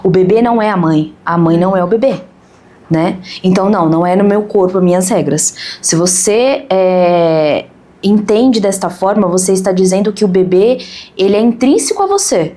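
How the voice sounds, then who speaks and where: Woman guest on a faith podcast; mellow, earnest and firm. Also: medium pitch, animated, normal speed.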